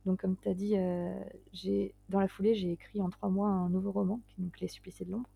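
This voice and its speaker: Gravelly, husky woman in her twenties. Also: mid-range voice, flat delivery, fairly quick, warm tone, dry recording, faint music behind. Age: 20s. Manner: hesitant, self-deprecating, laughs often. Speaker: guest writer. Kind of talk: casual interview conversation.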